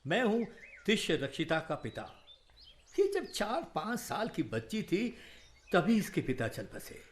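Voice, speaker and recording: A courteous, proud old-court physician in a historical radio play. He speaks slowly and formally in a fairly high, theatrical voice, over faint noise. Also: huge pitch swings, exaggerated voice. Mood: reassuring, earnest. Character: proud, courteous royal physician, a senior uncle figure. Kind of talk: Hindi historical radio drama acting.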